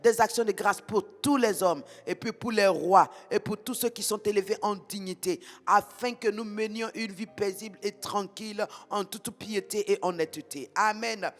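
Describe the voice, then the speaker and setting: High-voiced fervent Ivorian preacher man. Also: moderate pace, few pauses. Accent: Ivorian French. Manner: intense, rhythmic repetition, bursts into tongues. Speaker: preacher leading prayer. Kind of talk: fervent morning prayer, sing-song chanting. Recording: reverberant hall with soft music behind.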